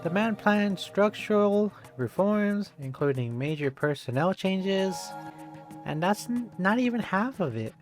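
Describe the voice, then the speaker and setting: Narrator reading aloud over clear music. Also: mid-range voice, lively intonation, unhurried. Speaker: streamer reading a visual novel aloud. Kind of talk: expressive read-aloud narration. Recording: game music behind the voice.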